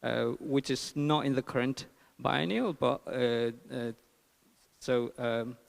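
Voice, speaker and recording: Halting Nepali-accented man in a reverberant hall. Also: medium pitch, fairly even intonation, vocal fry. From eastern Nepal. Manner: halting, searching for words. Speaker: artist and filmmaker presenting his work. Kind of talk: informal conference talk. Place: large hall at a public talk.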